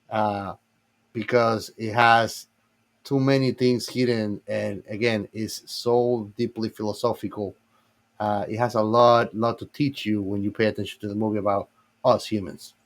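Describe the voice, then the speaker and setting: A man speaking as a podcast panelist, giving a casual film review. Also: medium pitch.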